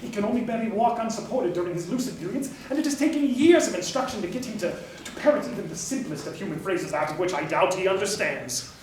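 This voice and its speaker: An actor playing a pompous, sly carnival doctor with florid, formal speech in musical theatre. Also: male voice, sits high, expressive, quick pace, slight background noise. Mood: smoothly evasive. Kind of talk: musical theatre stage acting. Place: staged reading before live audience. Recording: echoey hall, distant mic, music behind.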